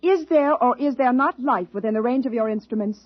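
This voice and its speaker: An actress plays a stern woman commanding a space expedition in a vintage radio play. Her voice sits fairly high and she speaks with clipped, impatient authority. The old recording is dull and limited. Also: a little fast, no echo, lightly acted. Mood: curt, impatient. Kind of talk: 1950s radio drama acting.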